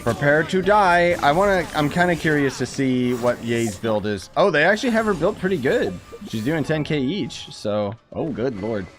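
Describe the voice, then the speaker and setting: Guy streaming a game, sarcastic joking commentary, normal pitch, faint game music behind. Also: expressive, normal speed, hardly any pauses. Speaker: gaming streamer. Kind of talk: casual gameplay commentary.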